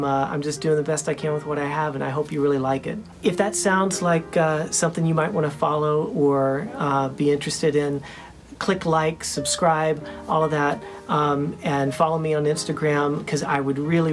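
A young man with a high voice, hosting a casual vlog from his small home studio, talking earnestly with many ums and restarts. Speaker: independent musician and channel host.

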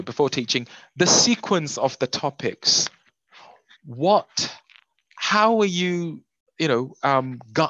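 A man's voice: mid-range voice, fairly bright tone, no room sound, rough recording. Man lecturing over a video call. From Indonesia. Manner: conversational, many fillers and self-corrections. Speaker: webinar speaker. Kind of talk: lecturing in an online workshop.

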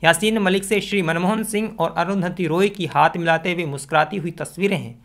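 A man's voice: high-pitched, fairly quick. A man delivers scripted, argumentative opinion commentary to camera, speaking emphatically into a close desk microphone. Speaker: video commentator. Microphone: desk condenser microphone, close.

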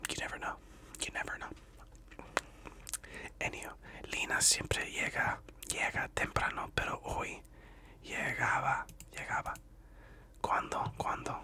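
A man's voice: measured pace, long pauses, bright, forceful tone. A man whispering through a casual study-with-me ASMR roleplay, chatting in slangy asides to the listener. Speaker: ASMR creator.

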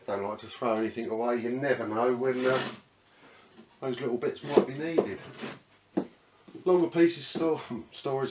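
A man's voice: mid-range voice, highs cut off. A middle-aged British man giving a casual, chatty, unscripted tour as host of a woodworking channel. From United Kingdom. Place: small cluttered indoor home workshop. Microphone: camera mic a few metres away. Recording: roomy, distant mic, faint background noise.

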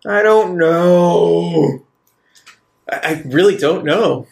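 A man's voice: fairly high pitch, very slow, creaky, darkish tone. A man playing a tabletop roleplaying game, speaking in character, with roomy echo on the recording.

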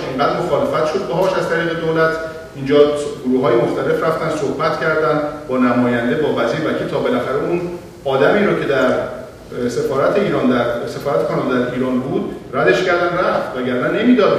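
A middle-aged man on a panel, answering an audience question in a measured, explanatory way with some hesitations, speaking into a handheld mic in a library auditorium. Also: fairly high pitch. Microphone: handheld mic, heard from far camera. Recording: echoey auditorium from far off, faint hiss.

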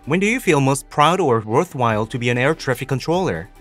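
A man's voice: mid-range voice, lively intonation, a little fast, fairly bright tone. Man reading questions over music. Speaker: question reader. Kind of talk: scripted questions for an explainer. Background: background music.

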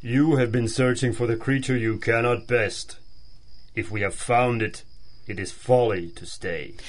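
An actor playing a wary, loyal tracker to a hunter in a 1930s-style radio drama, speaking gravely and formally. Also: male voice, mid-range voice, steady tone, unhurried, lightly acted.

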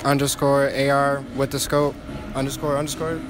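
Calm young man over loud music. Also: medium pitch, normal speed. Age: young adult. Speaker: interviewee. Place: indoor shopping mall.

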